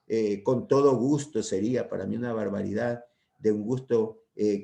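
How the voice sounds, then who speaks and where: Older man lecturing, mellow and rambling. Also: medium pitch, creaky. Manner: rambling, hesitant, full of "¿ya?" and "¿no?" tags. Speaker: university medical lecturer. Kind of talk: informal lecture to students.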